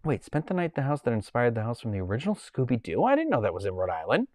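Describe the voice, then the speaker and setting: A man's voice: mid-range voice, wildly swooping pitch, rapid-fire, a little mellow, dry recording, studio quality. A man with a Boston accent, hosting a casual livestream from home and chatting in a rambling way while he reads the chat. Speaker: livestream host.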